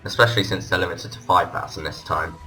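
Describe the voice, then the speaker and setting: A man's voice: low-pitched, steady tone, quick pace, bright, forceful tone, faint music behind. A man hosting a casual fan discussion, with hesitant, hedging phrasing. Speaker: podcast host. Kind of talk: casual fan discussion of audio drama releases.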